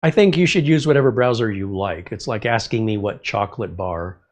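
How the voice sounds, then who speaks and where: A man's voice: lowish voice, fairly quick. A middle-aged man hosting a casual livestream, a little congested, chatting in a rambling way and reading viewer comments aloud. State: slightly congested. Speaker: livestream host.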